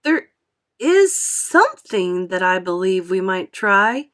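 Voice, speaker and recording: A thoughtful, apologetic young boy from a children's storybook, played by a woman. He speaks slowly and haltingly, in a gentle murmur. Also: medium pitch, sing-song. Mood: cautious. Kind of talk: storybook character voice in a read-aloud.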